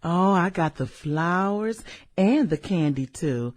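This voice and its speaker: An actress in a satirical radio drama playing a sultry, manipulative businesswoman with a theatrical, coquettish manner and breathy delivery. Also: fairly low pitch, expressive, a little mellow. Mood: flirty, teasing. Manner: theatrical, coquettish, drawn-out exclamations and giggles. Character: sultry, demanding, manipulative businesswoman juggling rich lovers.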